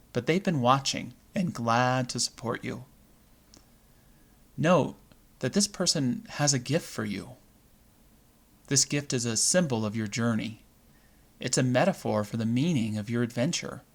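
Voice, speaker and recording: Man guiding a meditation in measured phrases. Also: medium pitch. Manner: measured, deliberate phrasing with pauses. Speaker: meditation guide. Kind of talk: guided visualization meditation.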